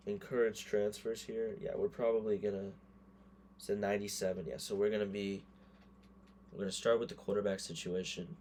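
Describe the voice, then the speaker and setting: A hoarse man giving casual gameplay commentary, thinking aloud and muttering quick verdicts as he goes. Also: fairly low pitch, flat delivery, a little mellow, slight background noise. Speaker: gaming creator.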